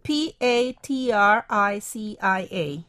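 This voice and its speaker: A woman playing a friendly twenty-seven-year-old working woman who spells words out clearly. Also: medium pitch, lively intonation, slow, fairly bright tone.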